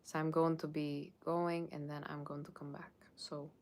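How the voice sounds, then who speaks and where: A woman's voice: low voice, level tone, normal speed, darkish tone, a little hiss or hum. A woman with a Russian accent chatting casually and informally to livestream viewers as a tarot reader, in a rambling way. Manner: rambling, informal, often checking with "okay".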